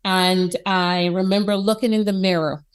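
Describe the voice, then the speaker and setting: Woman, Jamaican accent, expressive storyteller, mid-pitched voice, interview guest. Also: normal speed, smooth. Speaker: institute president, interviewee. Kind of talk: conversational interview.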